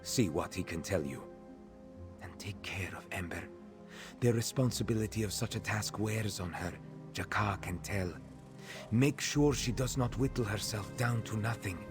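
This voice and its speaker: A man voicing a gentle cat-folk former captive in a video game, speaking in a measured, formal way and referring to himself in the third person. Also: lowish voice, animated, music in the background, natural voice. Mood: quietly concerned.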